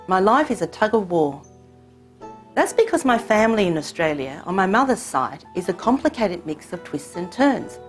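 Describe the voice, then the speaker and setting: A woman raised in Hong Kong whose first language is Cantonese, telling her family's story in measured, reflective scripted narration. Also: medium pitch. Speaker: narrator of her own short film.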